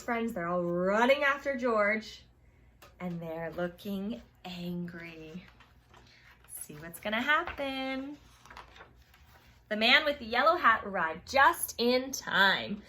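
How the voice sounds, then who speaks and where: A woman reading a picture book aloud to young children, expressive, with chatty exclaiming asides to her listeners. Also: a little high. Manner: expressive, addresses children, exclaims and reacts to the story. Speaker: children's storytime reader.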